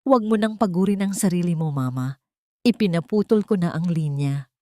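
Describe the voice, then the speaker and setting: A woman's voice: fairly low pitch, brisk, mellow, dry recording, natural voice. A woman voicing a cold, controlling daughter-in-law who speaks sharply and condescendingly with false sweetness in an audio drama. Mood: cold, commanding.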